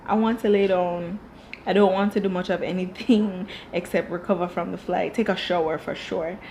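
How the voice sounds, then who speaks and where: A woman's voice: fairly low pitch, steady tone, normal speed, warm tone, slight background noise. A young Jamaican-accented woman, raised speaking Dutch, giving a blunt, chatty TV review full of swearing and tangents. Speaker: TV show reviewer. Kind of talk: casual reaction commentary.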